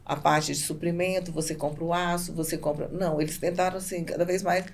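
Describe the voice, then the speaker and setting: A woman's voice: on the low side, fairly even intonation, fairly quick, a little hiss or hum. A Brazilian woman business owner answering casually as a podcast guest, often repeating and rephrasing herself.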